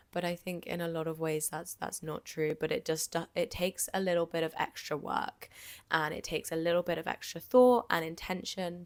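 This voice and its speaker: A young woman from Jersey with a slightly croaky voice, hosting her podcast in a candid, chatty way with frequent ums and likes and casual swearing. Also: low voice, no echo. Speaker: podcast host. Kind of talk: casual solo podcast monologue.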